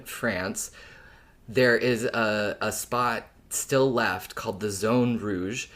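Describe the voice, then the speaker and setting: A man's voice: fairly low pitch, a bit bright. A man co-hosting a podcast, explaining things informally with conversational asides. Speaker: podcast co-host.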